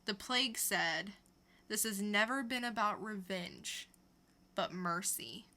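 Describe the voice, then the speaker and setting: A woman with a very bright, forward voice and an unhurried pace. She co-hosts a podcast and recaps stories in casual, informal review chat. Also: medium pitch. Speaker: podcast co-host.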